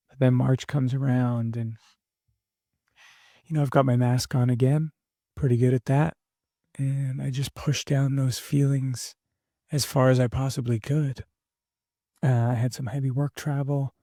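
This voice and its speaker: A young man losing his voice, talking candidly and haltingly in a reflective personal monologue as a podcast host. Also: mid-range voice, mellow, no echo, clean studio sound. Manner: halting, candid, many fillers and restarts.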